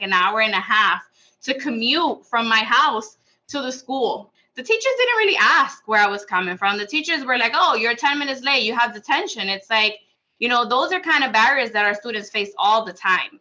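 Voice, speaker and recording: Bright-voiced American woman, higher pitched. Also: muffled recording. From Boston, United States. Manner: frequent check-in tags like "right?" and "you know". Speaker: school staff member, podcast guest. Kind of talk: conversational personal account on a panel podcast.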